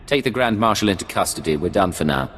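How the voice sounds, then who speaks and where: An actor playing a cool, confident male Imperial secret agent in a video game, speaking in a measured, commanding way. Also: low voice, lively intonation, fairly bright tone, a little hiss or hum. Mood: cold, unyielding. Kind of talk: dramatic video game dialogue. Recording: long reverb, music behind.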